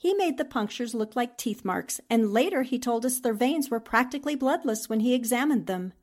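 A woman's voice: a little high, lively intonation, brisk, a little mellow. A woman playing a plucky, determined newspaper reporter in a 1940s-style radio drama, with an earnest, dramatic delivery. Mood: explaining.

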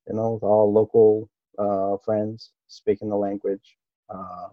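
A man's voice: on the low side, dry recording, muffled recording. Easygoing middle-aged man from Okinawa. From Okinawa, Japan. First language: Japanese. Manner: easygoing, hesitant, with frequent fillers and soft laughs. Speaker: interview guest, martial artist. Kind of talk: relaxed reminiscing interview talk.

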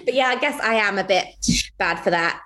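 A young British woman co-hosting a podcast, chatting casually in a candid, expressive way. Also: mid-range voice, a little fast. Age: young adult. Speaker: podcast co-host. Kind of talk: casual conversational chat. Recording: clearly noisy background.

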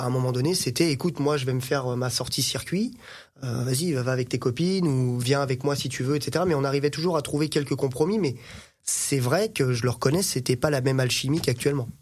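Husky-voiced man telling lively stories. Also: medium pitch. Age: 30s. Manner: animated anecdotes, laughs often, many fillers. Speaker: podcast guest. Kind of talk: casual chat, storytelling.

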